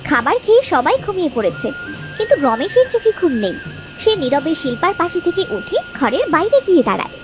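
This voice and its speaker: A woman's voice: very high-pitched, very animated, quick pace, over music. A woman narrator reading scripted storytelling narration for a children's cartoon.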